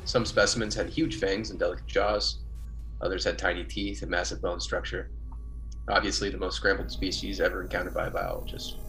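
An actor playing a conscientious, measured male biologist on a space colony in an old-time radio science-fiction drama. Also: sits low, level tone, a bit bright, a little hiss or hum. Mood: clinical, businesslike.

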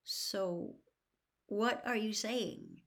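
A woman's voice: fairly high pitch, expressive, moderate pace, pitched above the performer's own voice, close to an everyday voice. A woman voicing an anxious teenage boy wizard, asking alarmed questions in a raised voice.

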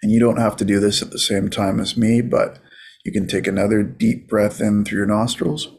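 A man leading a guided meditation as a coach, in a soothing, casual, unhurried manner. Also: lowish voice, fairly even intonation, creaky, a little mellow, husky.

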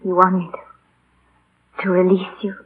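An actress playing a warm, devoted woman in love in a 1940s radio drama, emotional and imploring. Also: on the low side, completely flat delivery, takes it slowly, husky, phone-quality sound. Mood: hurt, pleading. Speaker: radio actor. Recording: thin, band-limited 1940 radio broadcast.

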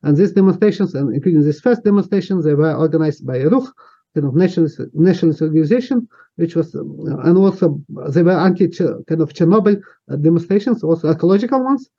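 A man's voice: on the high side, lively intonation, fast, dark tone, breathy edge. A man in his fifties from Ukraine with a Ukrainian accent, speaking English as a second language and recounting his past hesitantly, full of fillers and restarts. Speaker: interviewee. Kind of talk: recounting personal history. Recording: dull lo-fi sound.

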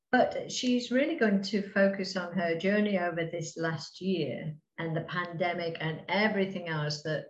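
A woman hosting a podcast interview in a chatty, friendly way. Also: lowish voice. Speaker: podcast host and mentor. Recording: roomy, dull lo-fi sound.

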